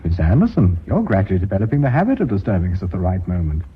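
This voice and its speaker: Low, dry, animated company chairman. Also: very fast. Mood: brisk, authoritative. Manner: dry, formal, authoritative. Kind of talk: radio drama acting. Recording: dull, slightly noisy radio recording.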